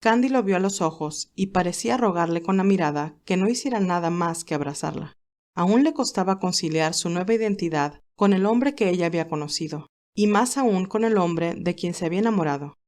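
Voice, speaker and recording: A woman reading scripted story narration in a measured, expressive way. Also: on the low side.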